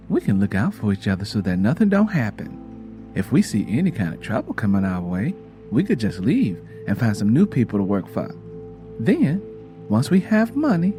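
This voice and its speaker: A man's voice: fairly low pitch, sing-song, normal speed, music in the background, pitched below the performer's own voice. A man voicing an earnest, eager and curious girl from the in a narrated story. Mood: earnest, hopeful.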